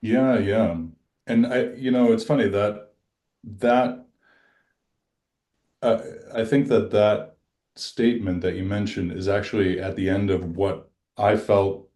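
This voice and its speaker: A middle-aged man from western New York, a musician guest, talking casually and haltingly with many restarts. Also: fairly even intonation, warm tone. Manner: deep-voiced, halting, restarts often. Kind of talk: casual chat.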